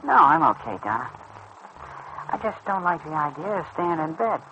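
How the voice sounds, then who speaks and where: An actor playing an earnest, boyish teenage lad, tender and proud, in a mid-century radio soap style. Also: male voice, a little high, sing-song, normal speed. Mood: reassuring, bashful. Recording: muffled, noisy old radio recording.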